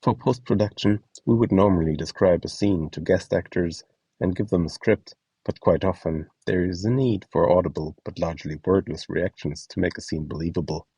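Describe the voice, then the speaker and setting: A man with a low-pitched, mellow voice narrates a scripted guide as host and instructor. His tone is a little husky and the sound is close and dry, with each step laid out plainly. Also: moderate pace, no room sound, distant mic, rough recording. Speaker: host and instructor of a voice donation project.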